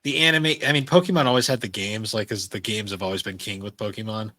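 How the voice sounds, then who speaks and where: A man's voice: lowish voice, fast talker, pushed and bright, no room sound. A man co-hosting a podcast, chatting casually and informally in loose, rambling remarks. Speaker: podcast co-host. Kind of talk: casual chat about anime and games.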